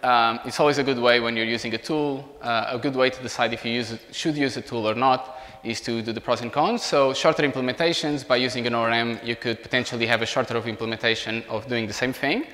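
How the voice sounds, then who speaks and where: A man in his thirties with a Portuguese accent, giving an informal technical presentation as a software engineer. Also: medium pitch. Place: indoor meetup room beside a large screen. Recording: reverberant hall sound.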